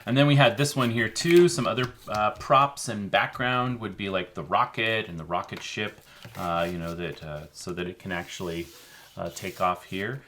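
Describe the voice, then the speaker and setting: A man's voice: on the low side, normal speed, a bit bright. Informal man explaining crafts, faint noise. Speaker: co-host of a children's show. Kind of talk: casual explanatory craft demonstration.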